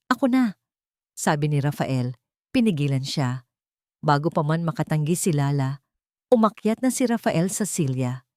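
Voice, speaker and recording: A woman narrating a romantic audio drama in a slow, dramatic, emotional storytelling manner, close to the mic. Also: deep voice, darkish tone, no echo.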